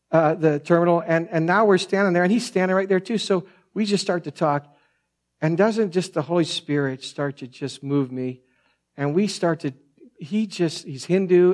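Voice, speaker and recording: A man with a soft voice preaching a sermon, telling stories in an earnest, conversational way. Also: on the high side. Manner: earnest, conversational storytelling with frequent restarts. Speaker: pastor.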